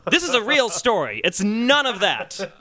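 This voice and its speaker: A man hosting a comedy podcast, bantering casually and sometimes reading a story aloud in an excitable, mock-earnest way. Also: high voice, measured pace.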